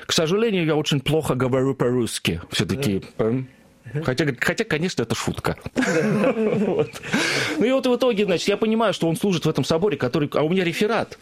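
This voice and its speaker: Lively man telling stories on a talk show. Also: on the high side, fast. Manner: lively storytelling, many fillers and restarts. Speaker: producer of Orthodox projects, guest. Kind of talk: anecdotal interview talk.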